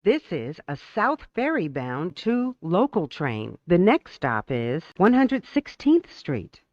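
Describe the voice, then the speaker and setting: A train announcer reading scripted transit announcements in a formal, measured, clearly enunciated way. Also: mid-range voice, wildly swooping pitch, a little mellow, dry recording, highs cut off.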